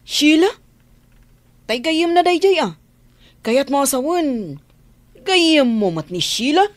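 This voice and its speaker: A woman's voice: high-pitched, sing-song, slow, dry recording, heavily acted. An actress with an Ilocano Filipino accent playing an inquisitive, easygoing young woman in a radio drama. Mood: prying.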